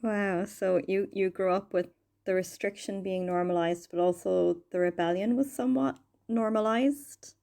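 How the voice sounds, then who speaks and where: A woman's voice: mid-range voice, fairly even intonation, moderate pace, creaky, mellow. A woman hosting a podcast, introducing the show and asking questions in a friendly, plainspoken way. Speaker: podcast host. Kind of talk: podcast interview.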